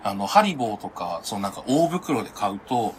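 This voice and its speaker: A man talks as a podcast co-host in a casual chat among friends. His pitch swings widely as he explains things and chimes in with agreement. A faint layer of noise sits behind his voice. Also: sing-song, brisk.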